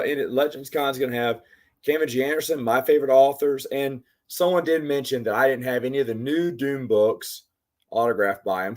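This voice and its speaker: Host, male, 40s, voice going hoarse, rambling and chatty, mid-pitched. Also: darkish tone. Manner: rambling, chatty, talks to commenters by name. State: losing his voice. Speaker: livestream host. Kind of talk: casual live Q&A chat.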